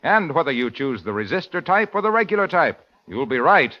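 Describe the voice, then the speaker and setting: Male commercial announcer, 1940s style, emphatic, swooping intonation, slightly high, dull vintage sound. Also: sing-song, a little fast. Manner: hearty, emphatic sales patter. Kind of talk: 1940s scripted radio advertisement. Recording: muffled vintage radio recording.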